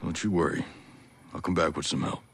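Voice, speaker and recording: An actor playing a grim, weary special forces soldier in a video game, speaking in a solemn, measured, deliberate way. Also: male voice, deep voice, steady tone, creaky, husky, exaggerated voice. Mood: urgent, concerned. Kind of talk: dramatic video game voice acting. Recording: loud music over the voice.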